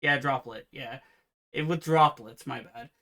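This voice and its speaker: A man co-hosting a casual podcast, with a naturally quiet voice, explaining card game rules in a relaxed, meandering way. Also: mid-range voice, measured pace, vocal fry. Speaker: podcast co-host. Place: home room with fan noise removed by software.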